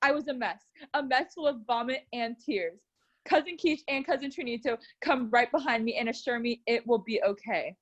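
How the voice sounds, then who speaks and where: High-voiced woman reading a story aloud. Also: moderate pace, highs cut off. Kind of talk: scripted narration read aloud.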